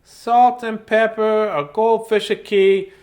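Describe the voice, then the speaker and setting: A man doing a chirpy high-voiced mouse. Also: steady tone, slow, a little mellow. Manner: sing-song rhyming, tour-guide patter. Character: cheery chatty male mouse showing off a house. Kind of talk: children's storybook read-aloud.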